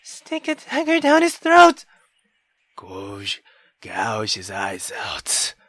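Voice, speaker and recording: A visual novel player, a woman, voicing a cruel, accusing mother in a venomous, drawn-out, commanding way. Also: rumbling low, wildly swooping pitch, a bit bright, breathy edge, no room sound, voice pushed down, full character voice. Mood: pleading, vengeful. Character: cruel, accusing mother scolding her daughter.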